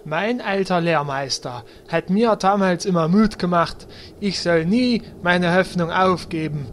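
A man's voice: high voice, unhurried, a bit bright, slight background noise. A man acting a naive, stubborn, proud paladin in a comedic fantasy audio drama, earnest and pompous. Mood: earnest, offended.

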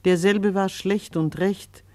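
A woman's voice: medium pitch, steady tone, takes it slowly, darkish tone, dry recording. A woman narrating a radio drama in a solemn, scripture-like recitation.

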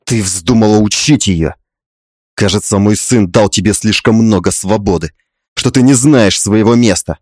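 A man playing a tyrannical older father-in-law in an audiobook drama, contemptuous and manipulative, speaking with drawn-out menace. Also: fairly low pitch, a little mellow, no room sound, clean studio sound, voice pushed down, natural voice. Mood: furious, menacing.

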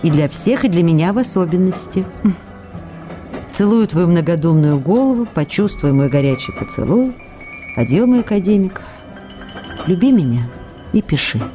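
A woman's voice: on the low side, animated, normal speed, pauses often, mellow, soft background music, close to an everyday voice. An actress in a radio drama reading the tender, playful love letters of a young woman actress, in an intimate, questioning way.

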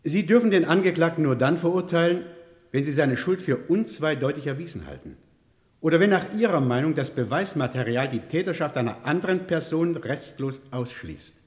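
An actor playing a grave, formal judge in a 1960s radio crime drama, speaking in solemn declamation. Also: male voice, fairly high pitch, big pitch swings, a little fast. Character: grave, formal male judge instructing a jury. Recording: old radio play, long hall reverb.

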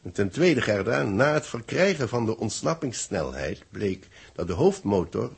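An actor playing an authoritative man dictating the story of a space mission, measured and formal, in a 1960s radio drama. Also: fairly low pitch, sing-song, creaky, slightly rough, exaggerated voice. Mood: explanatory. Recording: muffled-voiced old 1960s radio recording.

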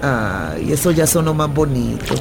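A woman's voice: very deep voice, big pitch swings, a little slow, vocal fry, heavily acted. An actress playing a flirtatious, teasing woman in a romantic radio drama.

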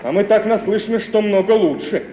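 An actor playing an anxious, lovesick young merchant's son with folksy, plaintive, grumbling speech in an old radio play. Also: male voice, high-pitched, lively intonation, brisk. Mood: sulky. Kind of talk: 1950 Russian radio play acting. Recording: muffled, hissy 1950s radio recording.

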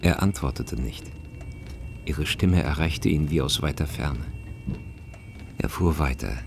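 A man narrating a short story in a radio play, in a sober, measured and restrained way. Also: very deep voice, vocal fry, background noise, heavily acted.

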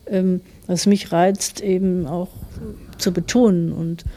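A woman's voice: on the low side, fairly even intonation, takes it slowly, warm tone. A middle-aged woman giving a reflective interview as a writer, thoughtfully explaining her views in long, winding sentences.

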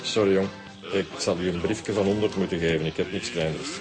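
A man's voice: low-pitched, fairly even intonation, brisk, over music, close to an everyday voice. A man playing a civil but reproving shop regular in a radio drama, with a Flemish accent. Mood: friendly. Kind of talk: naturalistic radio drama acting.

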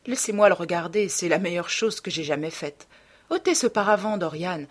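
A woman's voice: on the low side, animated, normal speed. A woman voicing an affable, devoted male painter in a novel, courteous, earnest and questioning. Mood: puzzled, affable.

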